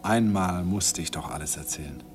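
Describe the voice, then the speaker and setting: A man's voice: sits low, over music, close to an everyday voice. An actor playing a wistful man who narrates a remembered love in a tender, sentimental 1950s radio drama style. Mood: tender longing. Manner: sentimental, slow, deliberate, tender. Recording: 1950s radio recording, faint noise.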